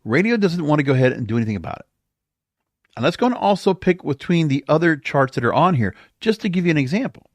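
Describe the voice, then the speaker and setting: Male podcast host, solo commentary. Higher-pitched, very animated delivery, emphatic and conversational, recorded dry. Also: quick pace, dry recording.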